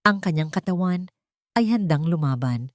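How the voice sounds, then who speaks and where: A woman reading a romantic drama story in a steady, dramatic storytelling manner. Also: on the low side, moderate pace, no room sound, clean studio sound. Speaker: narrator. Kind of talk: scripted story narration.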